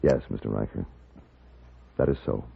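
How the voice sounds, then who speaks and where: An actor playing a cool, commanding, wry American gentleman gunfighter in a 1950s Western radio drama. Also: a bass voice, normal speed, vocal fry, very noisy, full character voice. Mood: grateful, grave. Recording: phone-like old radio recording, music.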